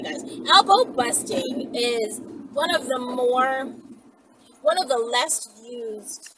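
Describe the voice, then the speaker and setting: A woman in her thirties chatting casually to camera in an emphatic way, repeating words for stress. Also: a little high. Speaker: vlogger, channel host. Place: inside a moving car with windows down. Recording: very noisy car ride, distant mic.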